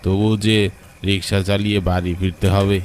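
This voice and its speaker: A man's voice: lowish voice, flat delivery, moderate pace, darkish tone, a little hiss or hum, natural voice. A man playing a weary, frightened village rickshaw puller, telling a cartoon ghost story in an anxious, suspenseful, wondering way. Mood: weary, uneasy. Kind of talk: dramatic first-person horror story narration for a cartoon.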